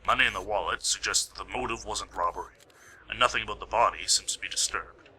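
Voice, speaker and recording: A man playing a brisk, dry police officer in an amateur radio play, clipped and procedural. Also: on the low side, sharp tone, a little hiss or hum, rough recording. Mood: matter-of-fact.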